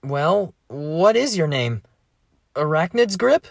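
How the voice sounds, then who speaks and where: A woman voicing an exasperated, brash teenage boy in a fan drama, loud and dismissive. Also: low-pitched, big pitch swings, slow, vocal fry, no echo, clean studio sound, exaggerated voice. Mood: incredulous mocking.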